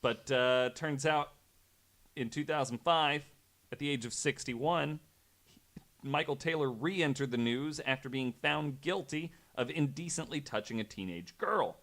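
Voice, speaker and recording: A man telling a true story on a podcast in a casual, halting, conversational way, working from notes. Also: medium pitch, a bit bright.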